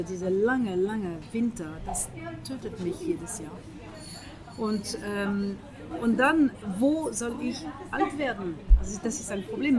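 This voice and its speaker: A middle-aged woman from France speaking German with a French accent, often searching for words in a casual breakfast conversation, with many pauses and fillers. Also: mid-range voice. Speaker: guest. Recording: breakfast table with a group chatting in the background.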